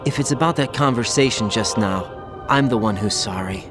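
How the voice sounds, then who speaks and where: A man's voice: on the low side, moderate pace, lightly acted. An actor playing an earnest, bewildered young male soldier in a dramatic video game scene. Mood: hurt, bitter. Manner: questioning, incredulous, earnest. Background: music.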